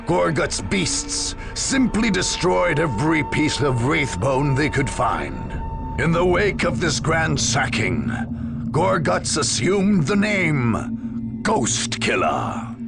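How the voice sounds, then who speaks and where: A man narrating a war game as a grave, grandiose chronicler in a solemn, theatrical, measured way. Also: a little high, big pitch swings, gravelly, over loud music, exaggerated voice. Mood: grim relish. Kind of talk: dramatic scripted game narration.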